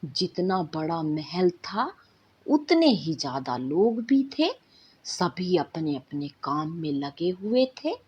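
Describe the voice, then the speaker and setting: A woman storyteller narrating a bedtime tale in an expressive, drawn-out, dramatic way. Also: lowish voice, husky, dull audio.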